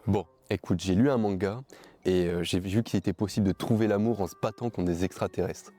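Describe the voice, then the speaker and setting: A man's voice: deep voice, brisk, dark tone, close to an everyday voice. A man playing an earnest, lovelorn bachelor nearing thirty in a scripted comic skit. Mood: earnest, sheepish.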